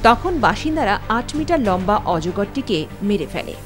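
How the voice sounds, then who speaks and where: A woman from Bangladesh reading a scripted news report in a steady, measured voice. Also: mid-range voice. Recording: voice-over with music bed.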